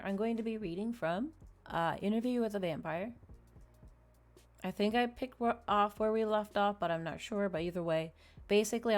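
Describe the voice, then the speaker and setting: A woman's voice: medium pitch, darkish tone. A woman hosting a livestream, chatting casually and informally straight to her viewers. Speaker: livestream host, VTuber.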